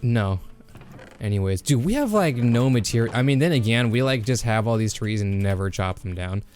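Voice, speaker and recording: A young man hosting a casual gaming chat, excitable, swearing and saying "bro" a lot. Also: mid-range voice, normal speed. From Kansas. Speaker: podcast host.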